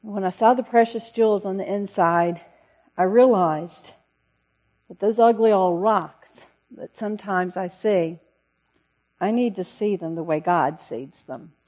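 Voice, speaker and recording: Woman, missionary, church talk, earnest, loud-voiced, pleading, mid-pitched. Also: a little slow. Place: church service.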